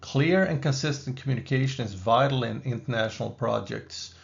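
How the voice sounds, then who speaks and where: Gravelly swedish-accented professor, measured lecture voice-over, slightly dull recording. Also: male voice, mid-range voice. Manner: measured, explanatory, with occasional asides. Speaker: business school professor.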